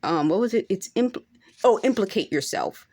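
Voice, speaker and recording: A woman's voice: medium pitch, lively intonation, normal speed, a little mellow. A woman in her forties talking straight to camera in an unscripted monologue, earnest and insistent, now and then rising to emphatic peaks. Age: 40s. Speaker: vlogger.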